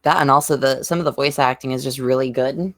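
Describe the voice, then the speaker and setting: A man's voice: mid-range voice, steady tone, fast talker, fairly bright tone. A man taking part in a casual group podcast chat as a panelist.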